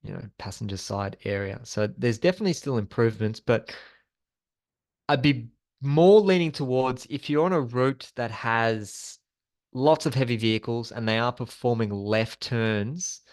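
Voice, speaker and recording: A man's voice: mid-range voice, normal speed. Male instructor explaining in an informal way. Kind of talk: presenting a training webinar with slides.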